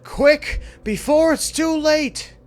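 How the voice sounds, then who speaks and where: A terrified man , as a male storyteller acts him out, cries out in a horror tale. His voice is strained and trembling, forced far up in pitch. He pleads urgently like someone who knows he is doomed, with music faintly behind. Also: slow pace. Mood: desperate pleading. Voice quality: strained, shaky, pushed high. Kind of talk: dramatic horror story reading.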